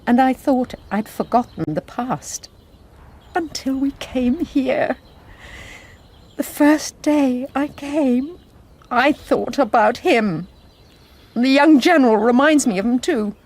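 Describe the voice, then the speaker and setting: Self-controlled but troubled nun, radio drama, halting, highish voice. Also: female voice, animated, a little mellow, exaggerated voice. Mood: wistful.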